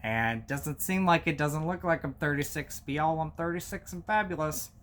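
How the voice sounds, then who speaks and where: A man in his thirties talking casually and from the heart to his channel's viewers, with a halting delivery. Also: fairly high pitch, a bit bright. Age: 30s. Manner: halting, many fillers and restarts, heartfelt. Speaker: movie collector YouTuber. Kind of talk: casual personal vlog talk.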